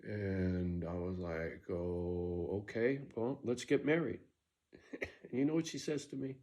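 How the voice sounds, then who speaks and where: A man's voice: deep voice, slow pace, dark tone. A middle-aged man casually telling a story from his own life, blunt and profane, often tagging "right?" onto his sentences.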